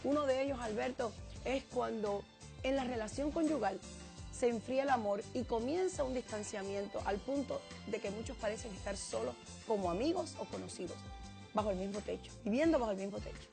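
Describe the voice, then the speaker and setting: A woman co-hosting a talk show, giving a formal, measured introduction. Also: a little high, gravelly. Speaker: co-host. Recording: music under the voice.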